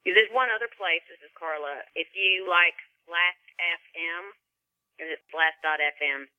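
Low-voiced woman on a phone-like line. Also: unhurried, pushed and bright, dry recording. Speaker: panel guest, musician. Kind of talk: conversational explanation. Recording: dry, narrow telephone-like line.

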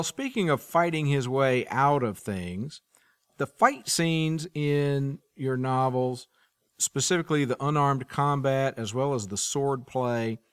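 A man interviewing a guest, putting his questions in a measured, deliberate way. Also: mid-range voice, no room sound. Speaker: podcast interviewer.

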